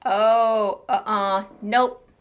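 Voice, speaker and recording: A woman's voice: medium pitch, steady tone, drawn-out words. A woman giving casual, chatty playthrough commentary on a story game, playfully talking back to it. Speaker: streamer playing a story game. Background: game music.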